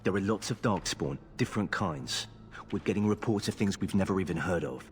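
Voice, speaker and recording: A man's voice: low voice, expressive, fairly quick, slight background noise. A man playing a seasoned, plain-spoken soldier in a fantasy video game, briefing in a matter-of-fact, cautioning way. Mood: grave, matter-of-fact. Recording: game music behind the voice.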